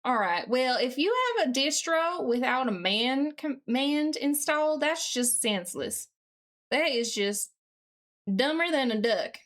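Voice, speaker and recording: A woman with a distinctly high voice chats casually on a live stream. She puts on a Southern US accent and talks in a folksy, mildly sweary manner as she works at her computer. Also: lively intonation, a little slow, fairly bright tone. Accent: put-on Southern US.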